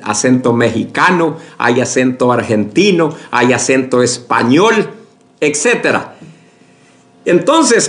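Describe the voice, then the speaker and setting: A pastor preaching a sermon to his congregation, speaking slowly with many fillers and restarts, often asking for agreement. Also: fairly high pitch. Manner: addresses the congregation as brothers, asks for agreement.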